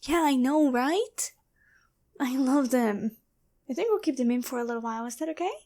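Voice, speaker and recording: A woman playing a sly, teasing female demon posing as a girlfriend in an intimate, deliberate ASMR roleplay. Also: sits high, expressive, warm tone. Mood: feigned sweetness. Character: taunting female demon possessing and impersonating someone's girlfriend. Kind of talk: ASMR roleplay, addressed to the listener.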